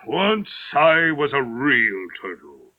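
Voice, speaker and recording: Storybook Mock Turtle: gloomy, sighing old creature, hollow and slow, muffled radio-like sound. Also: male voice, very animated. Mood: mournful. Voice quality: deep, hollow tone. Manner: slow, mournful, drawn-out delivery. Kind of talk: dramatised storybook reading.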